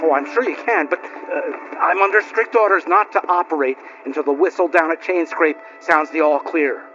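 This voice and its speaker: An actor playing a nervous, good-natured male machine operator in a video game, speaking in a halting, meek way. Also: fairly high pitch, natural voice. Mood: hesitant. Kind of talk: video game cutscene acting. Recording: muffled game capture with music.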